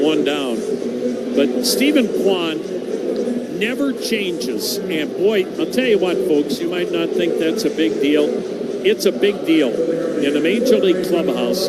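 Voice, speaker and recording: Male baseball radio announcer, fairly high voice, folksy and conversational, working over loud steady background noise. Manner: folksy, conversational asides between pitch calls. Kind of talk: live radio sports commentary. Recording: heavy steady noise behind the voice.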